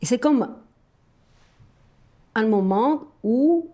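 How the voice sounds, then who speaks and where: A woman's voice: on the high side, lively intonation, drawn-out words, warm tone. A woman from Argentina, a native Spanish speaker with an Argentine accent, talks reflectively and personally to a companion, often searching for words.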